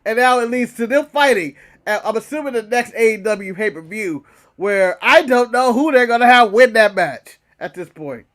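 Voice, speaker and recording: A man's voice: very high-pitched, normal speed. A man co-hosting a wrestling podcast in casual, opinionated chat, hammering points home with repetition and swearing. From United States. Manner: animated, repetitive emphasis, profane.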